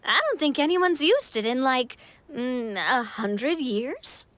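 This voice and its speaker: A woman's voice: high voice, expressive, slow, fairly bright tone, dry recording, heavily acted. A woman playing a cheerful, confident young adventurer guide in a video game, upbeat, playful and reassuring. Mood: playful, assured. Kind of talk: video game character acting.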